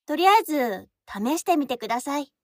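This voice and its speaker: An actress playing an earnest, polite high-school girl assistant with a husky voice in an audio drama. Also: lively intonation, fairly quick, no room sound, studio quality. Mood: calm, reassuring.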